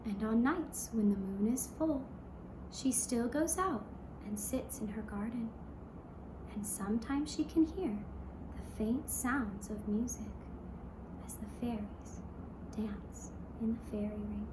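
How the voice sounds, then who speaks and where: Very slow, high-voiced woman telling a fairy tale. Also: echoey room, background noise. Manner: slow, hushed, deliberate storytelling in short phrases. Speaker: puppet show storyteller. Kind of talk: gentle scripted fairy-tale narration.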